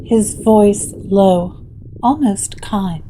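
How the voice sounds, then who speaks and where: Slow, measured woman narrating gothic horror. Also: mid-range voice, mellow, a little hiss or hum, rough recording. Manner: measured, dramatic pauses.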